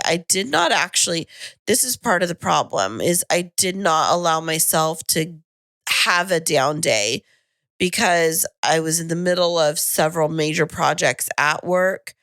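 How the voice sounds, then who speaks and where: A woman co-hosting a podcast in casual chat, her voice husky and a little raspy from a lingering cold, talking in a chatty, rambling way. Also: lowish voice, fairly bright tone, no room sound, studio quality. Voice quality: slightly raspy. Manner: chatty, self-deprecating, rambling. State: husky tail-end-of-cold voice. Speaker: podcast co-host. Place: home podcasting studio.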